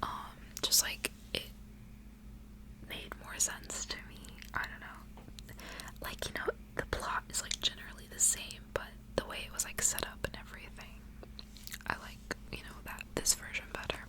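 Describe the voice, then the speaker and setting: A woman softly whispering in an ASMR style, reading aloud in a gentle, measured way. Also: rough recording. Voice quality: soft unvoiced whisper.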